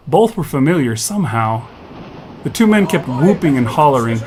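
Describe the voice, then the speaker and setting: A man's voice: mid-range voice, big pitch swings, moderate pace, darkish tone, slight background noise, poor audio. A man reading scripted narration for an audio fiction story.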